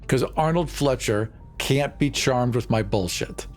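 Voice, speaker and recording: A man's voice: mid-range voice, a little slow. A man playing a tabletop roleplaying game, speaking conversationally as he improvises in character. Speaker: player in a tabletop roleplaying show. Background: faint music.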